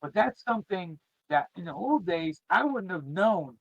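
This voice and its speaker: A man's voice: sits high, lively intonation, normal speed, vocal fry, dry recording, muffled recording. A middle-aged man chatting casually to viewers as a streamer, in a rambling, informal and emphatic way. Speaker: podcast host and streamer. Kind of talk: casual chat, reminiscing to viewers.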